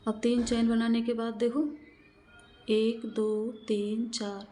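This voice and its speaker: A woman's voice: a little high, flat delivery, takes it slowly, dark tone. A woman narrating a step-by-step craft tutorial, explaining in a repetitive, instructional way and counting aloud.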